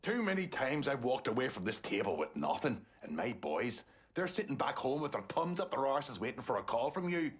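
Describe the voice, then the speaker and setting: An actor playing a proud, aggrieved Irish mob boss, demanding and boastful, in a video game. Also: male voice, mid-range voice, very animated, fairly quick, hardly any pauses, slightly rough. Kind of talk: video game cutscene acting.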